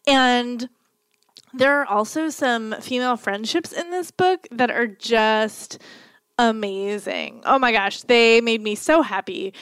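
A woman co-hosting a podcast, chatting casually about a book in a rambling way with lots of fillers, a little croaky from a cold. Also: a little high, slow pace, a bit bright, dry recording. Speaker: podcast co-host. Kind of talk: casual book-review chat.

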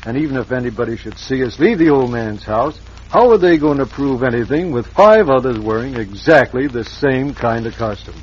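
An actor playing a scheming, bossy crooked western lawyer in old-time radio style, with a folksy, commanding drawl. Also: male voice, mid-range voice, moderate pace, close to an everyday voice. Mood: impatient, insistent. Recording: dull, noisy vintage radio recording.

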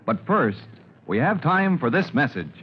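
A man's voice: fairly high pitch, big pitch swings, measured pace. A man announcing an old radio drama in a dramatic, declamatory style. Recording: dull old radio recording with hiss.

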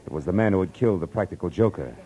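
An actor playing a tough, wry private detective in an old radio drama, with a terse, wisecracking delivery. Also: male voice, low voice, animated, racing, mellow, breathy edge. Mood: grim, wry narration. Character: hard-boiled, wry male private detective. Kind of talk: 1940s radio drama acting and narration. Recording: noisy old radio recording.

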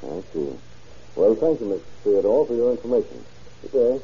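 A man's voice: on the low side, very animated, normal speed, slightly rough, heavily acted. A man playing a keen investigator in an old-time radio crime drama. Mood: inquisitive. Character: keen, hunch-following male investigator assisting sheriff. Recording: dull muffled old radio recording.

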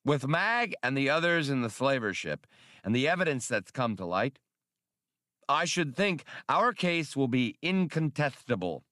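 A man's voice: medium pitch, sing-song, measured pace, a bit bright, dry recording, studio quality, voice pushed up. A man voicing a fussy, whiskered alien bureaucrat in an audiobook, with a lisp and formal, precise, wordy speech. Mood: satisfied, formal. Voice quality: lisping.

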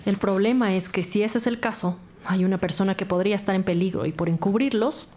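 A woman's voice: mid-range voice, a little fast, a little mellow, lightly acted. A woman playing a methodical, level-headed police detective in an audio drama. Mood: thoughtful.

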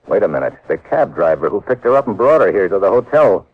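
A man's voice: lowish voice, big pitch swings, quick pace, breathy edge. An American man playing a tough, hard-boiled agent in a classic radio drama, terse, slangy and wry as he narrates his case. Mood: brisk, businesslike. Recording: muffled vintage radio recording.

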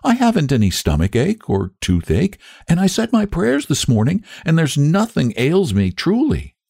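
A man's voice: a little high, huge pitch swings, moderate pace, gravelly, mellow, husky, no room sound, studio quality, voice pushed up, full character voice. A man voicing an innocent, earnest and polite little girl in a storybook, guileless and sweetly matter-of-fact. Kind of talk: storybook character voice.

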